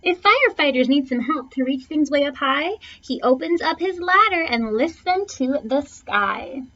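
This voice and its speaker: High-pitched, animated woman reading a storybook. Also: moderate pace. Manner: theatrical phrasing, stretches and punches key words. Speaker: teacher reading a picture book aloud. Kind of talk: expressive children's storybook read-aloud, rhyming verse. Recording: dull, lo-fi sound.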